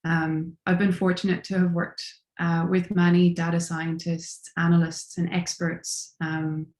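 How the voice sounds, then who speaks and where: A woman with an Irish accent, a senior design strategist, giving a thoughtful, measured panel introduction. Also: lowish voice, level tone, darkish tone. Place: home or office near a city. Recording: roomy echo.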